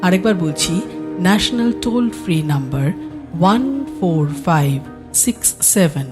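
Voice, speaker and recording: A woman's voice: fairly low pitch, expressive, moderate pace. A woman reading a scripted podcast announcement. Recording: music bed behind the voice.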